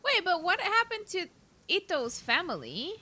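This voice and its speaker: A woman's voice: very high-pitched, huge pitch swings, moderate pace, bright, forceful tone. A woman giving casual reaction commentary as she plays a video game. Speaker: let's-play streamer.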